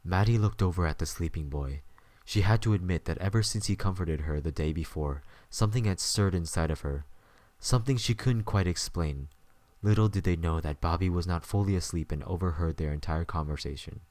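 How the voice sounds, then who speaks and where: A man's voice: deep voice, fairly quick, a little mellow, no echo. A man narrating a scripted story as the narrator of an audio drama.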